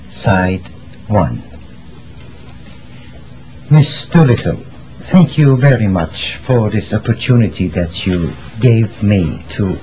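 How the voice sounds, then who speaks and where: Slow, deep-voiced male interviewer. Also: dark tone, a little hiss or hum, rough recording. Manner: slow, formal and deliberate. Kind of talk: formal oral history interview.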